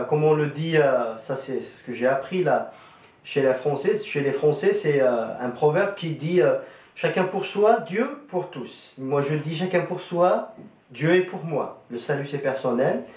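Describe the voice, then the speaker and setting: A man's voice: a little high, darkish tone. Hesitant man, roomy and distant. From Algeria. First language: Kabyle. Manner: hesitant, many fillers and restarts. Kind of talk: casual spoken testimony. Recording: roomy echo, distant from the mic.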